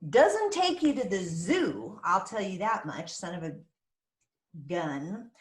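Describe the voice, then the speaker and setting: A woman's voice: medium pitch, very animated, takes it slowly. Woman playing a theatrical country mom, echoey room. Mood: bitter storytelling. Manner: folksy, dramatic storytelling with teasing jabs. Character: crazy, possessive, theatrical small-town country mother, fond but prickly. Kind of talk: screenplay table read acting. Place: remote virtual table read, roomy-sounding space.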